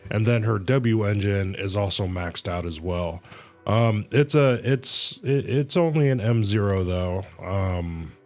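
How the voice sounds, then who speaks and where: Slow, low-voiced man livestreaming. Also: a little mellow. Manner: rambling, repeats himself. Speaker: game livestreamer. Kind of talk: casual livestream commentary. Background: faint game music.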